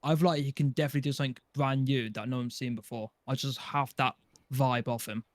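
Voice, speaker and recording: A man's voice: medium pitch, steady tone, quick pace, no echo. Man chatting casually, dry close sound. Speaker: podcast panelist. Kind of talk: casual chat.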